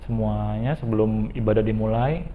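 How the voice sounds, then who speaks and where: A man in his late twenties, a church live-streaming volunteer, explains his tasks in a steady, methodical way. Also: on the low side, moderate pace, slight background noise. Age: 20s. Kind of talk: testimony interview answers. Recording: tinny phone-like sound.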